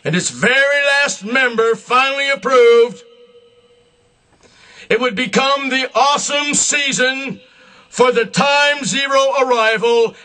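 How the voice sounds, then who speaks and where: A man delivering a solemn, scripted religious address in a grandiose, emphatic manner, in deliberate short phrases. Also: extremely high pitch, sharp tone, low-quality recording. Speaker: self-proclaimed religious leader.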